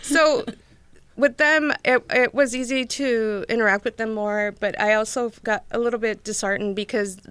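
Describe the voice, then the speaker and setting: A woman guest in a conversational interview, talking thoughtfully with many halting restarts. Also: on the high side, steady tone, a bit bright, clear, resonant tone, no echo.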